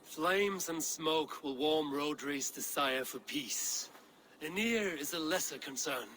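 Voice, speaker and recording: An actor playing a grave, level-headed Viking war leader in a video game, speaking in a measured, commanding way. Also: male voice, fairly high pitch, fairly bright tone, a little hiss or hum. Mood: firm. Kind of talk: dramatic video game acting.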